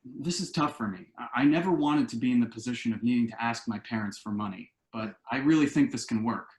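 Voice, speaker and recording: An actor playing an earnest, anxious adult son in a conversational comedy play reading, explaining things in an echoey room. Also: male voice, medium pitch, fast, natural voice. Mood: anxious, pleading. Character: earnest adult son, anxious and quirky about house rules.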